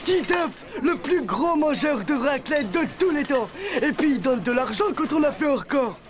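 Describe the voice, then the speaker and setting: A woman's voice: high voice, animated, moderate pace. A woman voicing an eager, chatty, bossy schoolboy in a French cartoon, full of enthusiasm. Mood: excited. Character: eager, chatty schoolboy, self-appointed manager and food lover. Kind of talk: French animated cartoon acting. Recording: music behind the voice.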